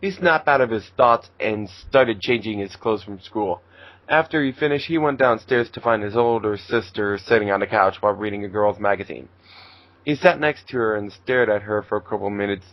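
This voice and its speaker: A man's voice: fairly low pitch, moderate pace, no echo, dull audio. A man reading fan fiction aloud as narrator, in a casual amateur style.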